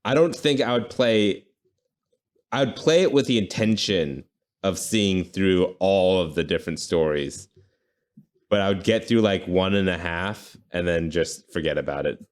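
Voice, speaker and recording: A man's voice: low voice, a little slow, long pauses. A man co-hosting a podcast, chatting casually about video games. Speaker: podcast co-host.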